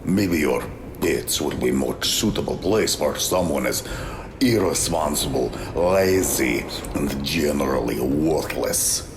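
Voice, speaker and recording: A hobbling, spiteful villain's henchman in a fantasy radio drama. His very deep, creaky voice sneers and stretches each contemptuous word, over noisy ambience. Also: expressive, heavily acted. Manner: sneering, drawn-out, emphatic. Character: hobbling, spiteful male henchman with crooked stick. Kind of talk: fantasy radio play acting.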